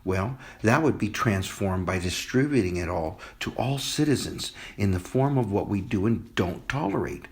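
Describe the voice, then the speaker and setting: An idealistic male visionary chef, acted by a man in a homemade audio drama. He is courteous and measured, explaining his ideas to a president in an everyday voice. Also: fairly low pitch, lively intonation. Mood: calm, idealistic.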